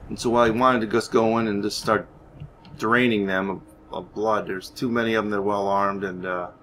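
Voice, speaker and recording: A man's voice: lowish voice, steady tone, normal speed, a bit bright, slight background noise. A man giving casual, rambling game commentary, thinking aloud hesitantly as he plays. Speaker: gaming YouTuber narrating his playthrough.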